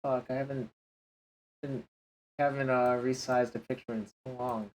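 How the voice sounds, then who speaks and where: A man's voice: medium pitch, little pitch movement, measured pace, rough recording. A man streaming a card game, talking casually to his chat and grumbling under his breath over his plays. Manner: frustrated, muttering to himself and chat.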